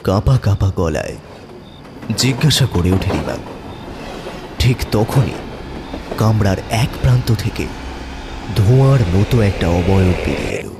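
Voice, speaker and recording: A man narrating a horror story in a suspenseful, theatrical manner with dramatic pauses. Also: on the low side, lively intonation, heavy background noise.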